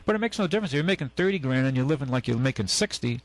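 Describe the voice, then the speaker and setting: A man's voice: mid-range voice, lively intonation, rapid-fire, rough recording. A man hosting a talk radio show, talking in a folksy, rambling, opinionated way. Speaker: radio show host and used car dealer. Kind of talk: casual radio chat. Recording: AM radio broadcast.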